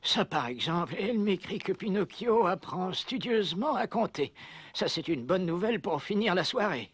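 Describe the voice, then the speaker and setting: An actor playing a kindly, worried old father in a children's cartoon, with a husky voice and a fretful, tender manner. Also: high-pitched, expressive, moderate pace, no room sound. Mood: pleased surprise. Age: older. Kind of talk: French-dubbed children's cartoon acting.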